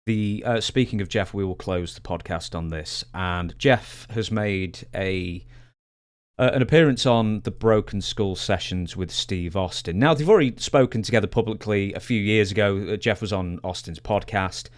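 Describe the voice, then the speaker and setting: Man, fairly low pitch, clear resonant voice, measured, matter-of-fact, conversational podcast interviewer.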